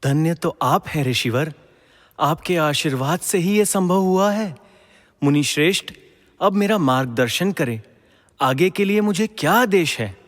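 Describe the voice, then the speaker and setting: A man's voice: on the high side, expressive, unhurried. An actor playing a humble, devout young king of legend in a mythological audio drama, formal and earnest. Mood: humble, questioning. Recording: long reverberant hall echo.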